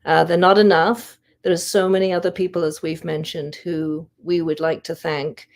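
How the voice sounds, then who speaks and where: A woman presents prepared research in a measured way, leaving deliberate pauses. Her voice sits fairly low and has a strong gravelly creak. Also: level tone. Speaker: volunteer history investigator presenting research.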